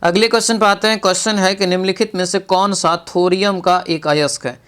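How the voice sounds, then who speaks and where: A man's voice: high-pitched, steady tone, a little fast, a bit bright, smooth. A man teaching an exam practice lesson, reading out questions and explaining answers with frequent check-in tags and rhetorical questions.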